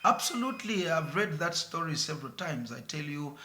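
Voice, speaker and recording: A man's voice: fairly high pitch, animated, fairly quick, sharp tone, reverberant, a little hiss or hum. A man with a Zambian accent, a radio presenter answering interview questions earnestly with some hesitation. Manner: earnest, mixes in local phrases, some hesitation.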